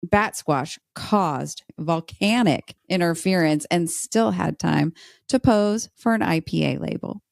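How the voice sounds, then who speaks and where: A woman's voice: fairly low pitch, takes it slowly, gravelly, no echo, studio quality. A woman podcaster appearing as a guest, playfully arguing her case and chatting casually. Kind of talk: playful debate argument and casual chat.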